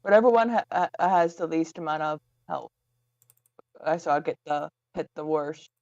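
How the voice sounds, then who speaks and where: A man's voice: on the high side, moderate pace, a little mellow, no room sound. A man chatting casually as a tabletop game player, heard through a dull, muffled lo-fi microphone.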